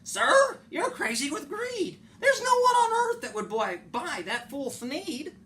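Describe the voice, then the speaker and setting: A small, oldish creature of the forest from a children's storybook. The voice is pitched way up, sharp and forceful, scolding with bossy indignation. Some noise sits behind it. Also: male voice, big pitch swings, a little slow, heavily acted. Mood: indignant, insistent. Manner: sharp, bossy, shouting protest.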